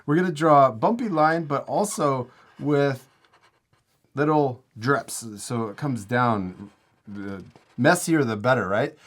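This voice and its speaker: A man hosting a casual step-by-step art tutorial, giving encouraging, simple instructions for children. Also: medium pitch. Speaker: host teaching drawing to children.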